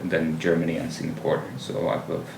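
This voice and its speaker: Deep-voiced young man, roomy distant sound. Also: a little fast, vocal fry. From Finland. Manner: relaxed, thoughtful, many fillers and restarts. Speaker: guest. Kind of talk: casual podcast chat. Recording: roomy, distant mic, faint noise.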